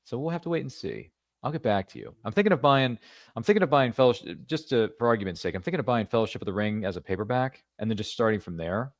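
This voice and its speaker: A man's voice: medium pitch, lively intonation, quick pace, no echo, studio quality. A man hosting a podcast interview, chatty and rambling, with jokey asides. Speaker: podcast host. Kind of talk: casual interview chat.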